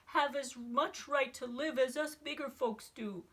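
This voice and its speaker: A woman voicing a big, gentle, loyal elephant in a storybook, earnest and protective in manner. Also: sits high, measured pace, breathy edge, voice pushed up, heavily acted. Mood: desperate, determined. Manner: earnest, pleading.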